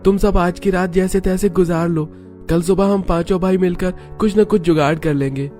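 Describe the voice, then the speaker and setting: A man voicing a young, poor village bridegroom who is gentle and reassuring. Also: high voice, steady tone, normal speed, soft background music, voice pushed up, natural voice.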